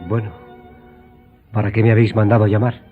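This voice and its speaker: An actor playing an ardent, courteous young lover in a radio drama. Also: male voice, lowish voice, level tone, brisk, natural voice. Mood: expectant. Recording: slightly dull, music behind.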